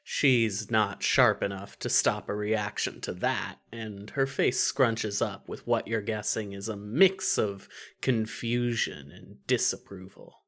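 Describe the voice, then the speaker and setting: A woman reading scripted second-person fan fiction narration in an expressive, wry way. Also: extremely low pitch, slow, few pauses.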